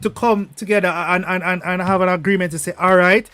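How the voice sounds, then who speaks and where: A man's voice: high-pitched, fairly even intonation, brisk, pushed and bright, smooth. A Jamaican man on a panel call, cutting in and arguing, repeating words as he presses on. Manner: cuts in, argumentative, repeats words. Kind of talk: heated panel debate.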